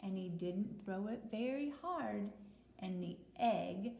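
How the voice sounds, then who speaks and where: Slow-talking woman teacher with creaky voice. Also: mid-range voice, animated, warm tone. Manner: simple, deliberate phrasing with questions to young listeners. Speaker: early childhood teacher. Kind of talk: picture-book read-aloud and talk to young children. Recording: roomy echo with noticeable hiss.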